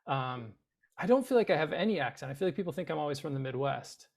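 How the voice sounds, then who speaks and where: A man with a somewhat high, gravelly voice, chatting as a guest. He is self-deprecating and a bit hesitant, with dry humor. He comes from the Boston area. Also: expressive, fast. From Boston area, USA. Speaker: guest actor. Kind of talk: casual chat.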